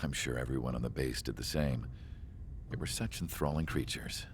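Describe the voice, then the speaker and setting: A man playing a calm, reflective narrator in a game cutscene, telling the story in a measured, wistful way. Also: rumbling low, background noise.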